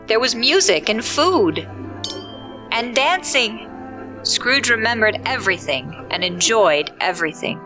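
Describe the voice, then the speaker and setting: A woman's voice: on the high side, pushed and bright. A woman reading scripted storybook narration for an audio drama.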